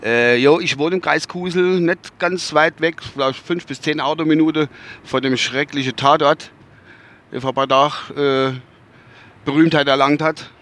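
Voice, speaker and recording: A man with a Westphalian accent talks through his podcast from inside a moving car. His voice sits slightly high for a man. He rambles, restarts sentences and hunts for words, with the odd casual swear. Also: steady tone, normal speed, a bit bright. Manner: rambling, searching for words, many fillers and restarts. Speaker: podcast host. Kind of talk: casual spoken podcast monologue. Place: inside a car while driving.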